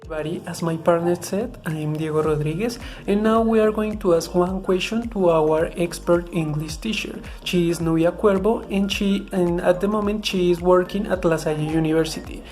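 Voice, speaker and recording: A woman with a fairly low voice acts as the interviewer. She introduces the guest and reads a question in a scripted, read-aloud manner. Faint music sits underneath. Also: normal speed, few pauses, a little mellow. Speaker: student interviewer.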